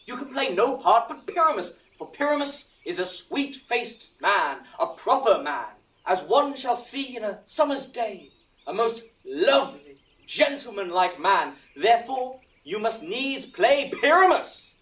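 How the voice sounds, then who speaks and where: A man's voice: very high voice, big pitch swings, unhurried, fairly bright tone, exaggerated voice. Male actor as earnest troupe leader, precise instructing manner, theatrical Shakespearean delivery, slightly distant recording. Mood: coaxing. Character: earnest organiser of an amateur acting troupe. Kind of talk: theatrical Shakespearean audio drama acting.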